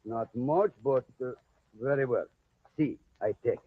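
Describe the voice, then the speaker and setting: An actor playing a grasping, haggling rancher in an old-time radio drama. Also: male voice, mid-range voice, a little slow, natural voice. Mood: grudging, grasping. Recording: muffled noisy old radio recording.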